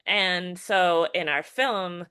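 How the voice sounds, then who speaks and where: A woman's voice: lowish voice, extremely slow, bright, forceful tone, smooth, no room sound. A woman filmmaker talking through her work in a casual podcast interview, thoughtful and full of restarts and fillers. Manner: thoughtful, restarts often, many fillers like "you know". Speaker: filmmaker and educator guest.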